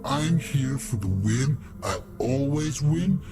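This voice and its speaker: A man's voice: mid-range voice, expressive, takes it slowly. A man playing a cocky, boastful fake online guru in a radio play, upbeat and punchy. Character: brash, cocky fake online guru contestant from Long Island. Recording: loud music over the voice.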